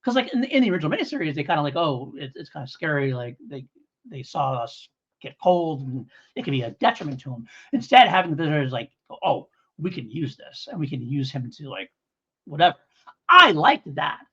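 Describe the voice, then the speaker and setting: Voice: medium pitch, sing-song, brisk, bright, forceful tone, slightly rough. A podcast co-host in a casual review discussion, talking in a hedging, rambling way and thinking aloud. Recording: dull lo-fi audio.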